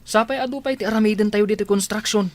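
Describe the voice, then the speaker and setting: An actor playing a breezy, persuasive workmate and loyal friend in a radio drama, with an Ilocano accent. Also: male voice, high voice, steady tone, fast, natural voice. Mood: concerned.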